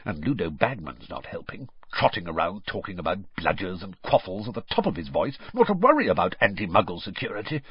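A man doing a low, muttering tired wizard. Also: sing-song, fairly quick, few pauses, breathy edge, no room sound, heavily acted. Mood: weary. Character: exhausted, grumbling male wizard official. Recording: slightly dull lo-fi audio.

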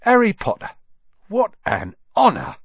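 Old bald barman , played by a male narrator; raised pitch, hushed reverence. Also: huge pitch swings, normal speed, husky, no echo, lo-fi sound, full character voice. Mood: awed.

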